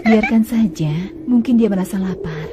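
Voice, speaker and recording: A woman voicing a gentle mother camel. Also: mid-range voice, moderate pace, very noisy, close to an everyday voice. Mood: coaxing. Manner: soft, tender, soothing. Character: gentle blessed she-camel mother, calm and devout. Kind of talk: dubbed cartoon acting. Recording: music bed under dialogue.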